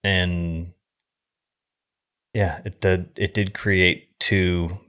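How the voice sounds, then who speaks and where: Male film podcast co-host: low-pitched, loose and rambling, thinks aloud in unfinished phrases. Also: level tone, fairly bright tone, smooth. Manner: halting, rambling, trailing off mid-thought. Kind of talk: casual film discussion.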